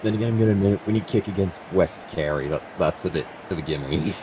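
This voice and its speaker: A man with a slightly raspy voice as color commentator on a video game match, casual and quick to react. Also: low-pitched, background noise.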